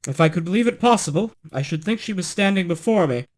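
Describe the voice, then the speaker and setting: Man acting a commanding storybook prince, raised pitch. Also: expressive, fast, a little mellow. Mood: wondering. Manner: insistent, formal archaic phrasing. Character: king's son, stern, commanding young bridegroom.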